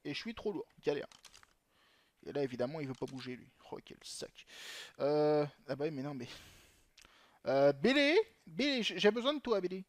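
A man's voice: a little high, expressive, normal speed, pauses often, no room sound. A young man commenting on his gameplay in a chatty way, swearing often and talking to himself. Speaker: gaming channel host.

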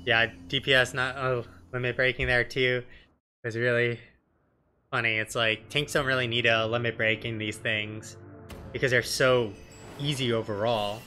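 A man's voice: medium pitch, little pitch movement, normal speed, sharp tone, soft background music. A man in his thirties giving casual, sweary live game commentary as a streamer in a room at home. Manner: sweary, colloquial. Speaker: streamer, let's player.

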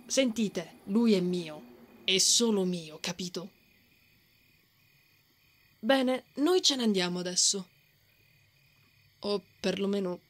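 A woman's voice: mid-range voice, lightly acted. A woman playing a brave, stubborn and protective female knight in a fantasy ASMR roleplay. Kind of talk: ASMR romantic fantasy roleplay, acted monologue.

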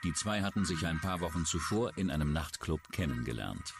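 Male narrator for true-crime documentary, low register, music underneath. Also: animated, a little fast, creaky. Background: background music.

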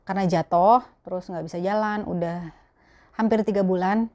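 A woman's voice: mid-range voice, steady tone, moderate pace, darkish tone. Young Jakarta woman chatting casually. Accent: Betawi Jakarta Indonesian. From Jakarta, Indonesia. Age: young adult. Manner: chatty, colloquial. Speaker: vlogger answering viewer questions. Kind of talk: casual chat to camera. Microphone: clip-on lapel mic.

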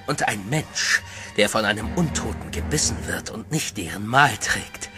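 An actor playing a sinister male sorcerer in a horror radio play, speaking with cold, measured menace over eerie background music. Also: lowish voice, animated, close to an everyday voice. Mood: coldly menacing.

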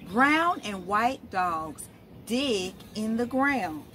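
Middle-aged female storytime reader, animated and highish, question-led delivery for little kids, light outdoor background noise. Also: extremely slow. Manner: expressive, asking questions as if to small children. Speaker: storytime host, literacy educator. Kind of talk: read-aloud picture book storytime for young children. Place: outdoors, in front of leafy shrubs.

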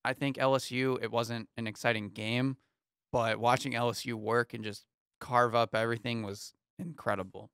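A young man co-hosts a sports show from a small foam-paneled studio, talking into a close studio mic. His voice is smooth and resonant, and the sound is dry. He moves through scores in a steady, easygoing rundown. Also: mid-range voice, moderate pace, no room sound. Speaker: sports talk show co-host. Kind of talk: casual sports chat. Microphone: studio mic on arm, headphones.

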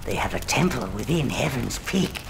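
Creaky old goblin minion. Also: male voice, medium pitch, sing-song, normal speed, background noise. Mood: advising, wry. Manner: theatrical, relishing, servile. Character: old fawning gleeful goblin minion advisor to a dark lord. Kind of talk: video game character narration. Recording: loud music behind.

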